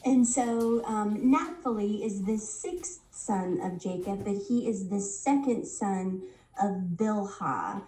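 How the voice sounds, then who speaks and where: A woman's voice: medium pitch, expressive, warm tone, reverberant, recorded from a distance, rough recording. A woman recovering from a head cold, leading a casual Bible study lesson and stopping often on ums. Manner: unhurried, many ums and restarts.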